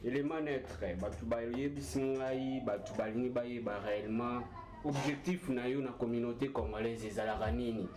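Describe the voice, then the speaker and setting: A man's voice: mid-range voice, fairly even intonation, moderate pace, noisy background. A male interview host who chats casually, switching between French and Lingala and pressing his guest with follow-up questions.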